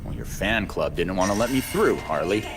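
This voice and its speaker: A gruff male vigilante in a video game, very low in pitch. He is terse and sardonic, and loud music plays behind him. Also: expressive, normal speed. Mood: indignant.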